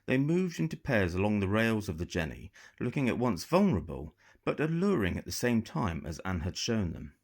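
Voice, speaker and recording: A man reading a novel as a dramatic, measured and expressive audiobook narration. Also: fairly low pitch. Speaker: author reading own novel.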